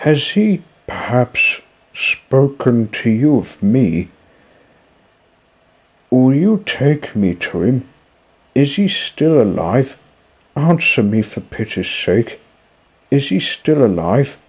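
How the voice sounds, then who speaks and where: Brief: a tearful, desperate young puppet boy, put on by a male reader, slow and raspy-edged. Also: mid-range voice, very animated, darkish tone, voice pushed up, full character voice. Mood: eager, anxious. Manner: pleading, tearful. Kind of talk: storybook reading.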